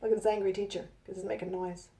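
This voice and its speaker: A woman author reading her children's book aloud in a gentle sing-song manner, with chatty asides to young listeners. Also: medium pitch, level tone, fast talker, slight background noise, rough recording.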